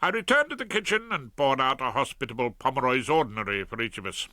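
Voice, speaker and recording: A man narrates a comic story in a wry, droll manner. His tone is bright and forceful, and his delivery moves lively up and down. The recording is close and dry. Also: medium pitch, fast talker, no room sound. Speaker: audiobook narrator. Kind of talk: first-person comic narration and dialogue.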